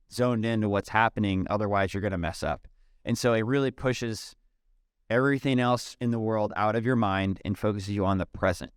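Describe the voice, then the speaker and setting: A man, chatting casually as a podcast guest, relaxed and peppered with "um" and "dude". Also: on the low side, clear, resonant tone, no echo, clean studio sound. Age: 30s. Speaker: podcast guest, software company CEO. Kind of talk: casual interview conversation.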